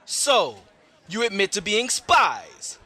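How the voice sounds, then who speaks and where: A man playing a brisk, suspicious military captain in an audio drama, reporting in a clipped, formal way. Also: high-pitched, wildly swooping pitch, pushed and bright, a faint crowd, exaggerated voice. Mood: stern, accusing. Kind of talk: scripted audio drama acting.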